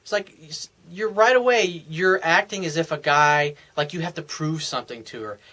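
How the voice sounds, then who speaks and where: A man's voice: fairly high pitch, moderate pace, sharp tone. A male dating coach giving blunt, emphatic advice in a monologue, swearing freely and often restarting his phrases. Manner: repeats and restarts phrases as he talks. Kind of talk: monologue answering a viewer's question.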